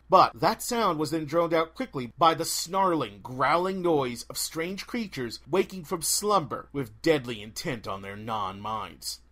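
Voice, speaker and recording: Animated male storyteller, very lively pitch. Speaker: audiobook narrator. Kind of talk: animated scripted fiction narration.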